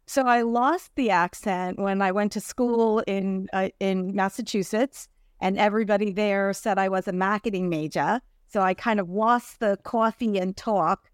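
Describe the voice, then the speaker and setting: A woman's voice: medium pitch, steady tone, moderate pace, smooth, no room sound, studio quality. A middle-aged woman from New Jersey, a podcast guest who tells her story in a chatty, easy way and laughs often. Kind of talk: casual interview.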